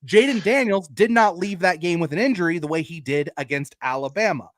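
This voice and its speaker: A man's voice: fairly high pitch, expressive, a little fast, no echo, clean studio sound. A man co-hosting a sports podcast, arguing emphatically about college football in casual, sometimes profane language. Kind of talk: opinionated college football debate.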